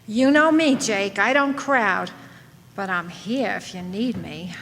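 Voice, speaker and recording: A woman's voice: medium pitch, takes it slowly, a bit bright. A woman playing a private eye's sassy, caring sweetheart in an old-time radio drama, with a teasing manner. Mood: coaxing. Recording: echoey hall, background noise.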